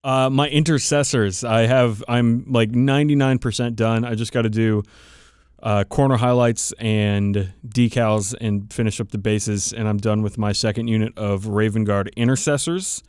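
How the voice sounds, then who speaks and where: A man's voice: lowish voice, steady tone, normal speed, darkish tone, smooth, dry recording, studio quality. A man co-hosting a podcast in casual hobby chat, informal and enthusiastic, swearing freely. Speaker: podcast co-host.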